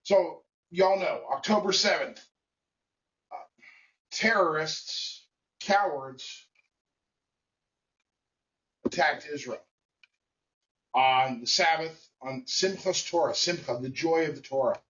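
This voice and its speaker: A man giving a blunt, emphatic opinion monologue to camera, speaking in short phrases with pauses. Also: on the high side, fairly bright tone, recorded from a distance, dull audio. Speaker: vlogger.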